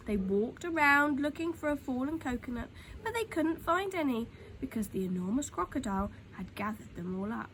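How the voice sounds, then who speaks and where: Animated, high-voiced woman reading a storybook. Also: moderate pace, hardly any pauses, rough recording. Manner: animated, dramatic, hushes for suspense. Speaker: storytime reader. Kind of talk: expressive children's storybook narration. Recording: clear background noise.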